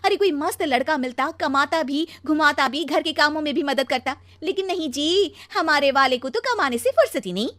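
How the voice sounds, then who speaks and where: Woman acting a high-pitched, nagging young wife. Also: very animated, normal speed, fairly bright tone, no echo. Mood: complaining. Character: demanding, nagging young Indian wife with a sharp tongue. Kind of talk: animated cartoon story dialogue.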